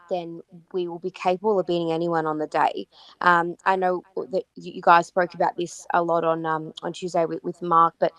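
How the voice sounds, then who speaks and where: Croaky-voiced Australian woman, lower pitch. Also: no echo, poor audio. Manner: enthusiastic, many fillers and restarts. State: croaky voice from yelling. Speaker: podcast co-host. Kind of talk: casual chat about football.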